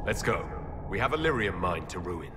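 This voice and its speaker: A man's voice: deep voice, sing-song, normal speed. An actor playing the human male Inquisitor in a video game, speaking in a direct, questioning, resolute way. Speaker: player character. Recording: game audio with long reverb and loud ambient noise.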